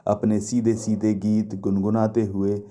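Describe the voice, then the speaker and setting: A man's voice: fairly low pitch, little pitch movement, a little slow, mellow, lightly acted. A man playing an earnest, devoted young student from mythology in a story, speaking reverently and in a declamatory way. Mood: fond, nostalgic.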